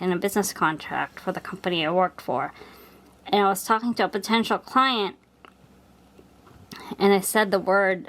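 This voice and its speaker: A woman's voice: medium pitch, a bit bright. A woman from the Philippines in her forties with a Filipino accent, chatting casually as she stitches and muttering to herself along the way. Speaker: cross-stitch vlogger.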